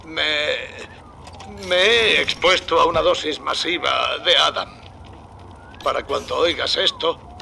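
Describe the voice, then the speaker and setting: A weary dying scientist, gravelly, quiet, resigned, slightly high voice, noisy game audio. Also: male voice. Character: Gil Alexander, weary dying scientist, recorded message. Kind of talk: game voice acting.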